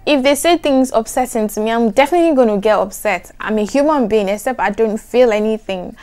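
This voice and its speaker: A young adult woman with a soft, soothing voice, chatting to camera in an animated, emphatic way. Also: on the high side, a little fast. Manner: animated, emphatic, repeats "trust me". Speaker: vlogger. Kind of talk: casual chat to camera.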